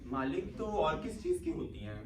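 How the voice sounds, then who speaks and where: A man's voice: fairly high pitch, lively intonation, moderate pace, natural voice. A man playing a wily, cheeky servant and go-between in a Hindi stage comedy, fussy and coaxing. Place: theatre stage, recorded from afar. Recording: echoey hall, distant mic, audience noise.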